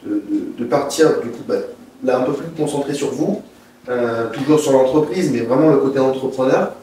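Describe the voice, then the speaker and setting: A man's voice: medium pitch, moderate pace, warm tone. A man conducting an informal interview, putting his questions in a hesitant, loosely phrased way. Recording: very echoey room, distant mic, faint noise.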